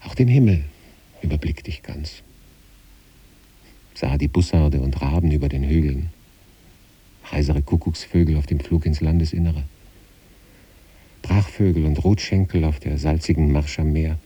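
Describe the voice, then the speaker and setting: Very dark, deep husky male narrator. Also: normal speed, long pauses. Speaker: narrator reading a literary story. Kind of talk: scripted literary narration in the first person, as letters.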